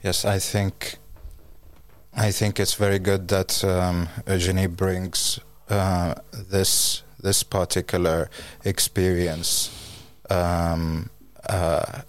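A man's voice: low-pitched, flat delivery, slow. A man who grew up in Finland, a guest in a conversation, speaking hesitantly with many fillers. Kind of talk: casual conversation.

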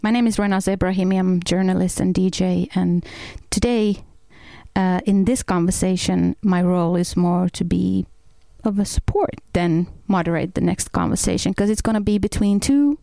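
A woman moderating a panel discussion, calm and supportive in her delivery. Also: fairly low pitch, normal speed, gravelly, dry recording.

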